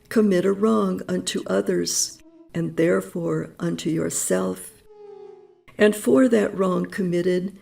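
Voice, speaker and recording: A woman reads prose poetry with deliberate, unhurried solemnity and a gravelly edge to her voice. Her tone is low and mellow. Soft music plays faintly underneath. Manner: measured and reverent, with long pauses. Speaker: audiobook reader. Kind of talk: slow, solemn poetry reading.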